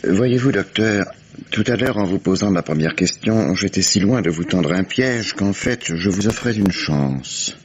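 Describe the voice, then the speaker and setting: An actor playing a calm, shrewd police commissioner who questions a suspect in a measured, probing way, in the manner of a 1950s radio drama. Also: male voice, low voice. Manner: measured, probing, dry irony. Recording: dull old radio recording with faint hiss.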